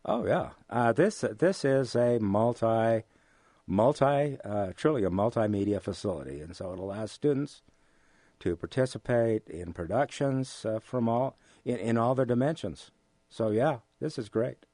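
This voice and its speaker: An American man being interviewed, speaking in a halting, measured way with formal, academic wording. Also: medium pitch, lively intonation, dark tone, no room sound. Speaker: interview guest, amateur radio drama actor. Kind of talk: relaxed interview conversation.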